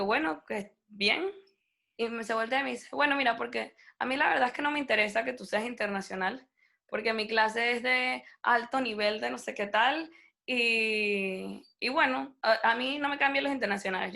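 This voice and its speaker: Young woman, native Spanish speaker, bright high voice, slight gravel, animated storyteller, casual interview. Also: a little fast. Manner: animated, quoting people in her story. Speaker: interview guest.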